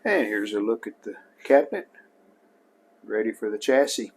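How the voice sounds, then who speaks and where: A man's voice: lowish voice, expressive, normal speed, darkish tone. A man casually narrating his own hands-on restoration work as a hobbyist host, in a plain-spoken, show-and-tell way.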